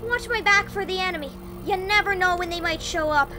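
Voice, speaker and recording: Casting: a small, bossy fairy-like sprite, squeaky-high and urgent, fantasy audio drama. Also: female voice, a little fast, music in the background.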